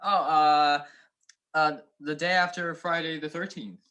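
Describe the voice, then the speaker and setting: A man's voice: fairly high pitch, fairly even intonation, unhurried, sharp tone, muffled recording. A young man playing a wry, unflappable detective husband in an amateur 1940s-style radio drama. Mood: playful. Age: young adult.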